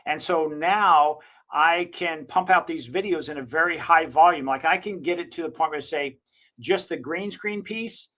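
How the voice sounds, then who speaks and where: Upbeat man with a slightly high voice. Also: normal speed, sharp tone. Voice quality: slightly higher-pitched voice. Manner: enthusiastic, recommending; rambling with self-corrections. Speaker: digital marketing agency owner. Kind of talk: casual screen-share software walkthrough.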